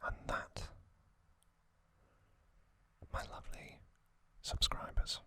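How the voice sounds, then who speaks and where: A man narrating in a breathy ASMR whisper, gently and in short phrases with long pauses. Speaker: ASMR video host.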